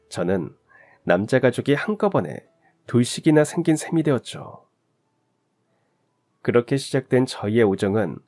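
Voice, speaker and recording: A man narrating a personal story as a radio drama, in a measured, emotive storytelling manner. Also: mid-range voice, darkish tone.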